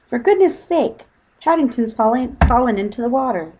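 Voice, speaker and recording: A woman's voice: a little high, animated, normal speed, darkish tone, pitched above the performer's own voice, poor audio. A woman voicing an anxious, scolding wife and mother from a storybook, fretful and exclaiming. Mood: alarmed.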